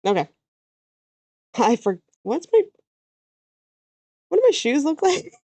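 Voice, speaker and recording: A woman's voice: sits high, sing-song, takes it slowly, warm tone, no room sound. A Canadian woman chatting casually while she draws on a livestream, thinking aloud and trailing off mid-sentence. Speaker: VTuber artist streaming her drawing.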